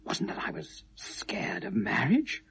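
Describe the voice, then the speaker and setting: An actor playing a tormented, obsessive lovelorn British man confessing to police, halting and bitter, shifting from hushed to anguished in a 1970s radio drama. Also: medium pitch, huge pitch swings, vocal fry, husky, in a whisper, exaggerated voice. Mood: brooding, confessional. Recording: noisy vintage radio play recording.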